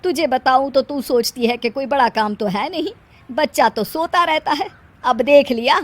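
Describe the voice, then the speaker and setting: A woman's voice: sits high, moderate pace. A woman voicing a weary, wry, grumbling older mother-in-law in a moral story. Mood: pointed.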